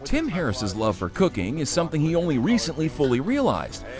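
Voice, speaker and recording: Female reporter, very animated pitch, scripted feature voice-over, music bed clear beneath. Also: brisk. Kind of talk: scripted news narration.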